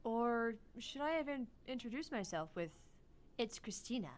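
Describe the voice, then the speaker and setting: A woman's voice: fairly high pitch, lively intonation, moderate pace, lightly acted. A woman voicing a prickly young female AI from an anime visual novel, tart but caring. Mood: prim. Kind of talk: visual novel character read-aloud.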